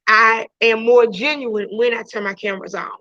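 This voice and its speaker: A woman's voice: fairly high pitch, fairly even intonation, normal speed, dry recording. A woman teacher talking casually to her online class, chatty, with many restarts and "you know"s, over a video call. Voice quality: loud voice. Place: home during a video class. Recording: dull lo-fi video call audio.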